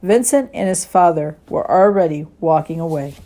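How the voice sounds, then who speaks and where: A woman reading a children's story and gentle lesson expressively, as a Sunday school teacher does. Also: lowish voice, expressive, moderate pace, a little hiss or hum, rough recording.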